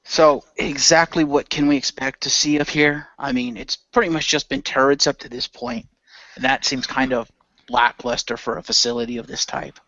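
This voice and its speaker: A man's voice: mid-range voice, steady tone, normal speed, bright, forceful tone, no room sound. Guy playing rpg, dry skeptical delivery, close mic but slightly muffled sound. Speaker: role-play player. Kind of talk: improvised in-character questioning.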